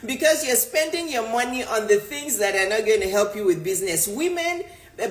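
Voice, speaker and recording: A middle-aged woman from Nigeria, a native Yoruba speaker, coaching with insistent emphasis and repeating herself to drive points home. Her voice sits at a middle pitch for a woman. The room adds a noticeable echo around her. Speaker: business coach. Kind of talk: motivational talk answering a viewer. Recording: roomy echo.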